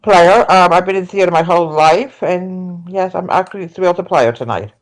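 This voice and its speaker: A woman's voice: on the low side, a little fast, rough recording. A woman, a theater actor, giving a casual self-introduction.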